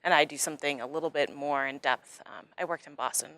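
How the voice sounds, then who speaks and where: A woman's voice: deep voice, fast, a bit bright. A young woman from New Hampshire, a newly elected alderwoman, explaining things in a friendly way in an interview. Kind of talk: casual interview chat.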